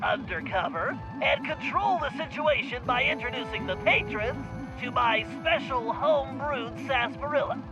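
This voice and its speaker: A man with an American accent voicing a brainy, earnest gang planner in an animated game, precise and slightly pompous. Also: very high voice, moderate pace, few pauses, over music, low-quality recording. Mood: instructive. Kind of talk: animated video game acting.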